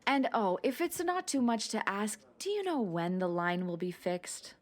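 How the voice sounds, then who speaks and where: A woman's voice: mid-range voice, very animated, normal speed, lightly acted. A woman playing a kindly, helpful town hall clerk in a radio play, friendly, hesitant and reassuring. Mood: chatty.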